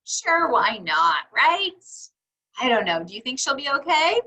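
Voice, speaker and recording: A woman reading a picture book aloud to young children in a teacherly, expressive way, putting questions to her listeners. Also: high-pitched, measured pace. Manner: teacherly, asks questions and answers them; exaggerated, expressive child-directed delivery.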